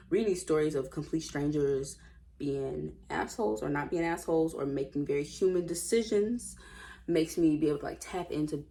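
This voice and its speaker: A woman's voice: low-pitched, creaky, dark tone. An American woman casually reading stories aloud with informal, sassy and blunt running commentary.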